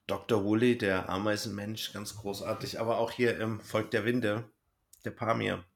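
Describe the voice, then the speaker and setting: Low-voiced man chatting. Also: level tone, normal speed, creaky, slight background noise. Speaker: podcast host discussing an audio drama. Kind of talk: casual conversational review.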